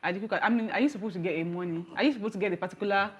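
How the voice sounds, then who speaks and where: A woman's voice: mid-range voice, very fast, a little hiss or hum. A woman with a Nigerian accent co-hosting a casual entertainment chat, giving her opinions in a conversational way. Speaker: talk show co-host.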